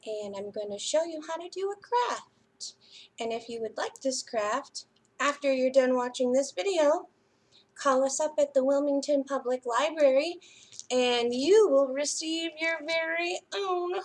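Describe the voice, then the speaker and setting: Lady doing kids story time, high voice, takes it slow, kinda sing-song. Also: a little mellow. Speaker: children's library storyteller. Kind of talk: read-aloud story time for young children.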